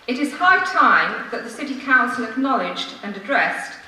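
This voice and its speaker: A woman reads a formal prepared speech to a council meeting, measured and earnest, with emphatic phrasing. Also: fairly high pitch, big pitch swings, sharp tone. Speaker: member of the public addressing a city council. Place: large council meeting room, indoors. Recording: echoey room from far off, faint noise.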